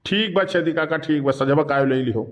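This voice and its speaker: An aggrieved village drinker from an Awadhi cartoon comedy, acted by a man. His voice sits fairly high for a male. He grumbles and protests his innocence. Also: a little fast, lightly acted. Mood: worried. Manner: complaining, protesting.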